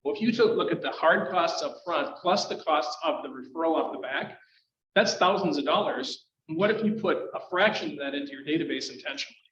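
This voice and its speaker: A man's voice: fairly high pitch, a little fast, a bit bright. A man leading a real estate team, making emphatic points on a panel. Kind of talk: panel discussion. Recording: echoey room, muffled sound.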